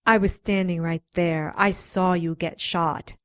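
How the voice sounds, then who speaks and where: A woman's voice: fairly low pitch, steady tone, unhurried, clean tone, no room sound, clean studio sound, natural voice. A woman playing a detective's concerned, insistent companion in a radio drama. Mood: puzzled, probing.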